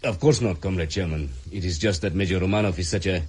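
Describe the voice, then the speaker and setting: An actor playing a deferential, uneasy Soviet major in a radio drama, formal and a little hesitant. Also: male voice, deep voice, fast talker, darkish tone, breathy edge. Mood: uneasy, guarded.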